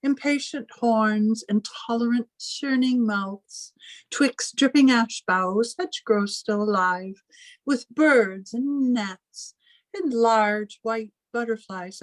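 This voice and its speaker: A woman's voice: on the high side, slow pace, dry recording. A woman playing a graying, commanding, possessive elder spinster sister in a radio drama, stern and formal in manner. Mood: reciting solemnly. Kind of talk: old time radio drama acting.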